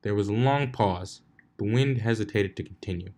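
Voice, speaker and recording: A man's voice: lowish voice, moderate pace, a little mellow. A man reading fan fiction aloud as a scripted story narration.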